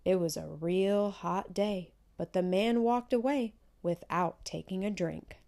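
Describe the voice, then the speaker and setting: Slow-paced woman reading a children's story. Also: medium pitch, creaky, warm tone. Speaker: children's book narrator. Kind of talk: scripted storybook read-aloud.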